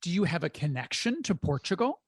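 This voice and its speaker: A man hosting a personal finance podcast, asking questions in a measured, reflective way. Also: high-pitched, sing-song, breathy edge, no room sound, studio quality. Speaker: podcast host. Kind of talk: conversational interview.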